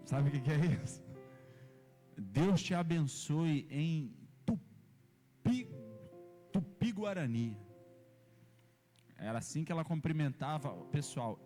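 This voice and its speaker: Pastor, animated storyteller, slightly high voice, faint music behind. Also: male voice, long reverb tail. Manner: animated, imitates sounds and voices, rising to loud emphasis. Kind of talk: sermon with storytelling. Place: church hall.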